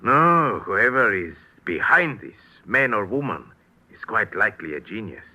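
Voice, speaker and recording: An actor playing a grave South American official who speaks formally and emphatically in a 1940s radio drama. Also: male voice, lowish voice, sing-song, a little slow, sharp tone, full character voice. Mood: grave, emphatic. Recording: thin old radio recording.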